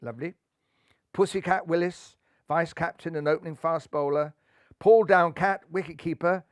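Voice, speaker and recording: Children's audiobook narrator, male, lightly high pitch, expressive and playful, dry sound. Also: normal speed, no room sound. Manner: theatrical, playful. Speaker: storyteller reading aloud.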